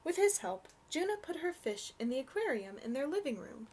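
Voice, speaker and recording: A young adult woman reading a storybook aloud for children in an expressive, gentle way. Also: sits high, a little fast.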